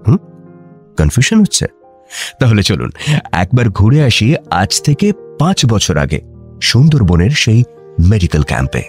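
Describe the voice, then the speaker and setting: A man's voice: lowish voice, very animated, moderate pace, pauses often, a little mellow, faint music behind. A man narrating a scripted audio story, playfully addressing listeners directly.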